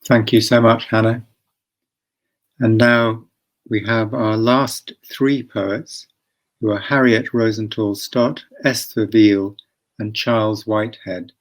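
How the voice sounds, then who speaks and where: A man's voice: lowish voice, fairly even intonation, slow pace. A man acting as announcer, introducing readers at a poetry event. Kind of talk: introducing poets.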